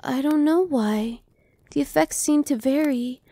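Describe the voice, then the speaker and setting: A young woman voicing a gentle female vampire who speaks softly and slowly, sometimes whispering. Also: high-pitched, no echo. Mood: soothing. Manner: soft, slow and reassuring, dropping to whispers. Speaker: voice actress. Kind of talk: ASMR roleplay.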